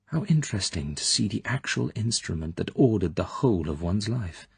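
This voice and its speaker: A man's voice: lowish voice, lively intonation, moderate pace, vocal fry, breathy edge, no echo, heavily acted. A man voicing a wary, guileless young woman corporal who speaks in a meek, hesitant way. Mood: wondering.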